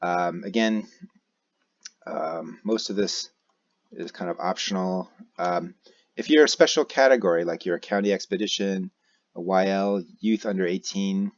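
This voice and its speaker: An American man giving an unscripted software walkthrough, hesitantly thinking aloud as he goes. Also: sits low, normal speed, highs cut off. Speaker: tutorial presenter, amateur radio operator. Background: keyboard typing and mouse clicks.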